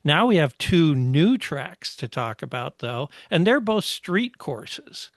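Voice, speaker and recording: A man co-hosting a podcast, chatting casually about motor racing, explaining history at a measured pace with many fillers. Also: fairly high pitch, sing-song, no echo, studio quality. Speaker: podcast co-host.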